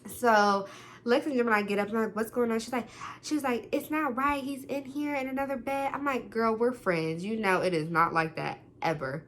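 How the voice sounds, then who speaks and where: A young woman telling a story to the camera, talking loudly and acting out what people said. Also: on the high side, normal speed, slight background noise. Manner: loud, animated, quotes people in different voices. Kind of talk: casual storytime monologue.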